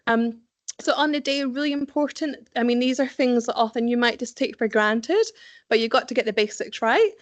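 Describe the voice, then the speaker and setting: A middle-aged woman with a Scottish accent giving a practical, conversational career-advice presentation, often pausing with "um". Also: on the high side, dry recording. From Scotland. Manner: practical, conversational, frequent ums, occasional laughs. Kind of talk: presentation to young professionals.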